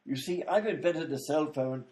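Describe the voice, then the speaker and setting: A man's voice: on the high side, normal speed, darkish tone, close to an everyday voice. A man playing an eccentric, long-winded male inventor in a comedy radio play, explaining things fussily with lots of "you see". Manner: explains at length with fussy fillers like "you see".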